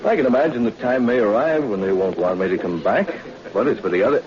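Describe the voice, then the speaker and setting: Actor as wry reporter hero, muffled old radio. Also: male voice, mid-range voice, animated, quick pace, lightly acted. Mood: wry, curious. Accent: American. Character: confident wry male newspaper reporter turned undercover adventurer. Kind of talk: 1940s radio drama acting. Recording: dull, noisy old radio recording.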